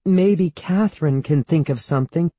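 A man's voice: on the high side, normal speed, warm tone, slightly rough, no echo, pitched above the performer's own voice. A man voicing a teasing, practical young girl in a matter-of-fact manner for a children's storybook. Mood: suggesting. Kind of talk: children's audiobook character voice.

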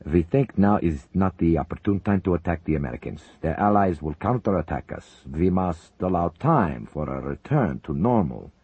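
A man voicing a cautious older Soviet official who speaks in a measured, careful way. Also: deep voice, lively intonation, slightly rough, no echo, phone-quality sound, exaggerated voice.

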